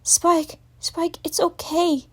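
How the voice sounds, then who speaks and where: A gentle female unicorn acted by a woman speaks in a raised, strained voice. She is distressed but pleads tenderly and reassuringly, as in an animated storybook drama. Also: very high-pitched, slow, pitched above the performer's own voice. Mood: soothing. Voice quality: strained, tearful.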